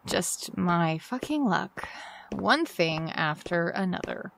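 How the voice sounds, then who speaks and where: A woman's voice: fairly low pitch, expressive, slow, vocal fry, a bit bright, a little hiss or hum, heavily acted. A woman playing a weary, polite visitor with a hesitant, downbeat manner in an audio drama. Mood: bitter, weary.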